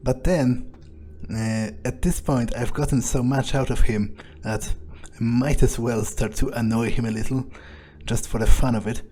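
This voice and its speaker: Man playing a mellow-voiced, irritable vampire. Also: mid-range voice, steady tone, measured pace, a little hiss or hum, natural voice. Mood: sour, cynical. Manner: muttering, blunt, hesitant thinking aloud. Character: irritable, foul-mouthed, cynical male vampire errand-runner. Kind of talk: in-character video game roleplay.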